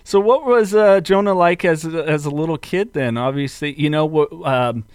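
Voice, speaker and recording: Clear-voiced American man hosting, dry sound. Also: on the high side, normal speed, dry recording. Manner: rambling, roundabout phrasing. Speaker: podcast host. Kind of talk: casual conversational interview.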